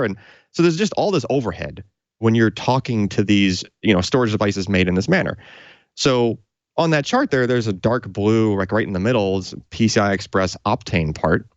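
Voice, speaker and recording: A man's voice: lowish voice, dry recording, lo-fi sound. A man casually explaining technical hardware as a tech show co-host, in an informal, conversational way.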